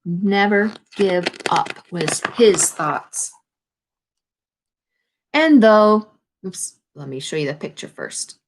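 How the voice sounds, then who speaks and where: A woman reading a children's picture book aloud in an expressive, instructive way, adding explanations for young listeners. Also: mid-range voice, takes it slowly, pauses often, low-quality recording. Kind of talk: read-aloud storytelling with explanatory asides to children.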